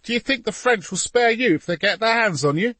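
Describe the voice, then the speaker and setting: A man's voice: very high voice, fairly even intonation, moderate pace, fairly bright tone, no echo, pitched above the performer's own voice. A man voicing a bold, exasperated youngster who scolds his papa in an audiobook story. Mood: exasperated shouting.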